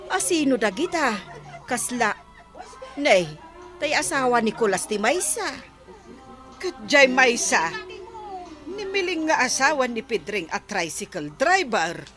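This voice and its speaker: A woman's voice: fairly high pitch, very animated, a little slow, pauses often. A woman playing a nosy, disapproving village gossip in a radio comedy drama, speaking with an Ilocano Filipino accent. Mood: disapproving, curious. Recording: music bed behind voice.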